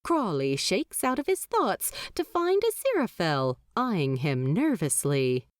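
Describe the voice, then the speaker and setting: Expressive female audiobook narrator, slow and very animated, dry close sound. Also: lowish voice, dry recording. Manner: expressive, laughing often.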